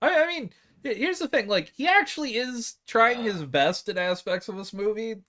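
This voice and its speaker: A man's voice: very high voice, expressive, normal speed, pushed and bright. A man talking casually and emphatically about movies as a panelist on a podcast.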